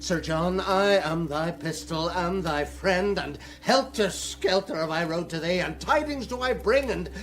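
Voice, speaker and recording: A man playing an excitable, merry, bombastic Elizabethan fellow, exclaiming and declaiming in a Shakespearean radio drama. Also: sits high, measured pace, hardly any pauses. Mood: triumphant.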